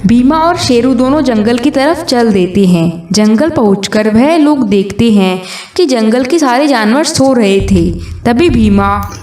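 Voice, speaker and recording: A woman's voice: fairly high pitch, expressive, measured pace, darkish tone. A woman reading a scripted children's story as its narrator. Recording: roomy distant sound, music behind.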